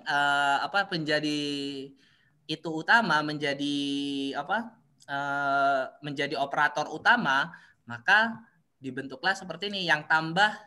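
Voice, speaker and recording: Bright-voiced man lecturing over a call. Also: a little high. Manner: halting, frequent fillers and restarts, often checks understanding. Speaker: university lecturer. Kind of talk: online class lecture. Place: online meeting. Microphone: video call.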